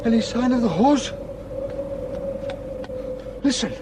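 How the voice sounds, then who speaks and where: High-voiced, fussy village rector. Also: male voice, lively intonation, slow pace, noisy background, heavily acted. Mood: weary, urgent. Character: prim, protective village rector, fussy and indignant. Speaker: actor in a radio drama. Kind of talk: radio drama dialogue.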